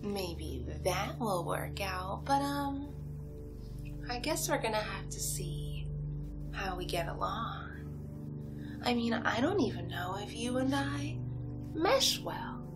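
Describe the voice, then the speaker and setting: A woman playing a playful, lonely, possessive young villainess kidnapper in an ASMR roleplay, murmuring coyly and slipping into breathy whispers. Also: medium pitch, big pitch swings, takes it slowly, pauses often, over loud music. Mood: coy, teasing. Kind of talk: ASMR roleplay, soft talk and whispering to the listener.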